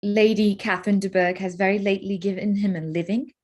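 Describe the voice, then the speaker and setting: A woman playing a candid, spirited young gentlewoman in a staged period drama reading, forthright and earnest. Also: mid-range voice, steady tone, brisk, close to an everyday voice. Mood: earnest, curious.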